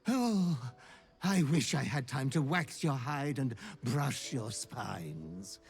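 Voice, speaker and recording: An actor voicing a fawning, gleefully sinister fiend butler in a video game, with drawn-out, gushing, theatrical delivery. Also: male voice, medium pitch, lively intonation, husky, faint music behind, heavily acted. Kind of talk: video game character acting.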